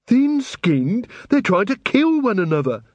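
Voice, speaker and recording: A man's voice: sits high, very animated, normal speed, a little mellow, dry recording, dull audio, voice pushed up. A man voicing a blunt, incredulous female watch constable with a direct, questioning manner.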